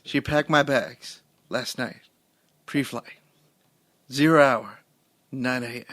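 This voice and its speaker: Slow, dry-voiced man reciting dramatically. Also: mid-range voice, a bit bright, no echo. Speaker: podcast co-host. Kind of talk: mock-dramatic spoken word reading.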